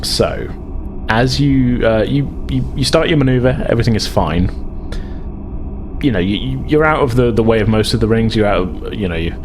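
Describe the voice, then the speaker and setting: A man acting as game master, narrating and improvising a tabletop role-playing game in a halting way. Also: mid-range voice, lively intonation. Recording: music behind the voice.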